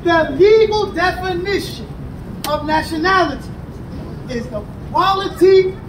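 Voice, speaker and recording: An American man teaching a street crowd in call-and-response style, emphatic and rising to shouts. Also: very high voice, a little slow. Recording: echoey and distant, with crowd and traffic. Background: crowd responses and passing vehicles.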